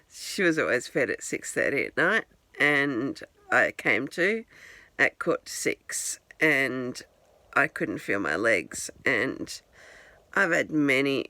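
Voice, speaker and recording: A woman's voice: a bass voice, bright, forceful tone, dry recording. A woman in her forties rambling candidly in a casual vlog, filming herself on a phone at home. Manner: rambling, candid, self-deprecating with chuckles. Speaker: vlogger. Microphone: handheld phone camera.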